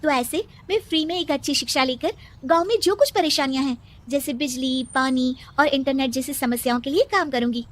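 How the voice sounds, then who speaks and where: An earnest, hopeful young village girl in a cartoon storybook, voiced by a woman. Her voice is high and eager as she lays out her plans. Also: fairly quick, lightly acted.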